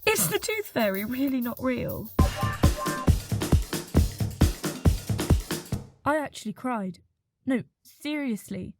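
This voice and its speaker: A woman's voice: on the high side, very animated, measured pace, over music. A woman playing a candid, incredulous young woman in a naturalistic, chatty radio play, questioning and exclaiming. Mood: embarrassed, confiding.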